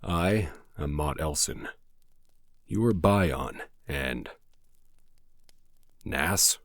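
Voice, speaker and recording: An actor playing a stern, seasoned pilgrim cleric with a grave, formal, scolding manner. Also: male voice, a bass voice, drawn-out words, no echo, heavily acted.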